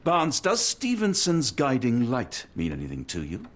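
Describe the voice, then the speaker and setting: A man's voice: mid-range voice, wildly swooping pitch, moderate pace. A man with a British accent playing an earnest, formal doctor and loyal companion in a video game. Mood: inquiring. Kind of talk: scripted video game cutscene acting.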